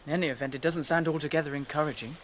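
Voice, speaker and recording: High-voiced, cheeky young English hobbit played by a man. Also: fairly even intonation, racing, fairly bright tone, slight background noise, lightly acted. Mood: casual. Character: cheeky young gentleman hobbit, lazy and teasing. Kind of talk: radio drama acting.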